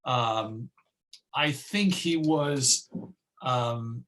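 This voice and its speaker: Man, middle pitch, informal presenter, musing delivery, frequent mid-phrase pauses. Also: fairly bright tone. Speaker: presenter and old-time radio collector. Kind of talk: informal online talk with audience questions.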